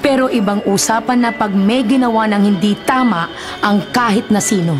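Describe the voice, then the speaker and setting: An actress playing a composed village chief, firm and reassuring, in a radio drama. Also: female voice, mid-range voice, normal speed, close to an everyday voice. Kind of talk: Tagalog radio drama acting. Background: dramatic background music.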